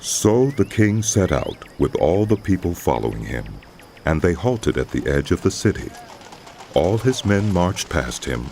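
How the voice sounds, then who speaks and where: A man reading scripted narration for a dramatized scripture recording, with a deep, gravelly voice. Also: a little mellow, slight background noise.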